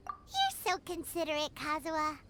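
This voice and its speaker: A woman voicing a tiny, excitable, childlike floating fairy companion in a video game, squeaky and quick to take offense. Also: over music, full character voice. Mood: earnest. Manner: refers to self in third person, indignant.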